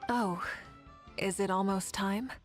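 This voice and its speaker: Woman as game heroine: warm, composed leader, mid-pitched, sincere and reassuring, light music behind. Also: fairly even intonation, slow pace, natural voice. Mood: tender. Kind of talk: anime video game voice acting.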